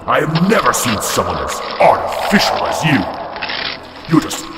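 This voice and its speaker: A man's voice: fairly high pitch, sing-song, moderate pace. A man voicing a sinister male tormentor in a nightmare, mocking and gloating, slipping into hissed whispers, in a student radio drama. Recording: echoey with loud music behind.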